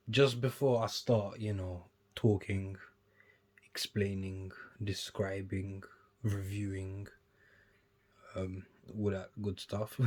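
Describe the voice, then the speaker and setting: A young man, casually chatting and rambling through an unboxing on his vlog, often trailing off quietly between livelier bursts. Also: on the low side. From United Kingdom. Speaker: sneaker YouTuber.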